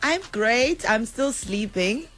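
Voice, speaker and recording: A woman's voice: fairly high pitch, animated, slow pace, fairly bright tone, soft background music. A South African woman in her thirties chatting as a radio interview guest, laughing often and switching into Zulu phrases mid-sentence. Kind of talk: casual radio interview chat.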